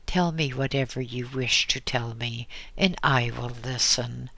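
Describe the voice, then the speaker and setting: A man voicing a tall, calm old lama who speaks softly and soothingly. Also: medium pitch, creaky, no echo. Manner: soft, soothing, unhurried.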